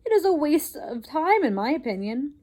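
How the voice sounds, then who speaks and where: A woman voicing a fussy, theatrical mother mouse with a put-on French accent, dismissive and quick to scold. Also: sits high, very animated, dark tone, voice pushed up. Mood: scornful. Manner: scornful, dismissive, rapid scolding.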